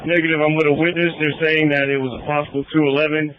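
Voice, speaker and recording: Higher-voiced man on muffled police radio. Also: level tone, fast talker. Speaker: transit police officer on scene. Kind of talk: terse police radio reports. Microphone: handheld police radio.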